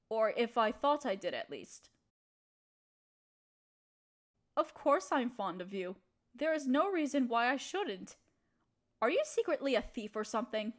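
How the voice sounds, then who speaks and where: A woman playing a gentle, proper young noblewoman who speaks politely and coyly to her servant in an intimate roleplay audio drama. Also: a little high. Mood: playfully indignant. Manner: polite, formal, period phrasing, coyly teasing.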